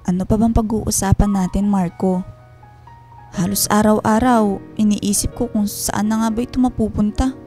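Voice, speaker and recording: A woman's voice: mid-range voice, steady tone, moderate pace, warm tone, lightly acted. An actress playing a hurt, weary wife and mother in an emotional, earnest Filipino radio drama. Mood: hurt, reproachful. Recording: music bed under the voice.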